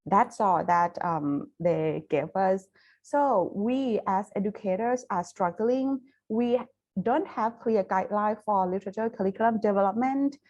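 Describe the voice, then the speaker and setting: Thai woman lecturer presenting research on a webinar, careful and halting, lots of ums, mid-pitched voice. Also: darkish tone, lo-fi sound. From Thailand. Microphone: video call.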